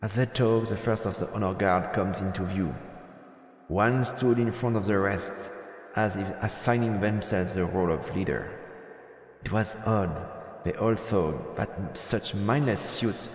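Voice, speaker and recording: A man's voice: lowish voice, unhurried, echoey room, in a large hall. A man streaming a visual novel, reading the narration aloud as dramatic storytelling. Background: game music.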